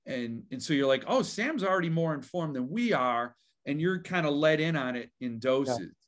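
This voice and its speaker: Guy running a book channel chat, kinda high voice, keen, lots of ums and restarts. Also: expressive. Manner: enthusiastic, halting with fillers. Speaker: interviewer, book channel host. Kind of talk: casual interview conversation.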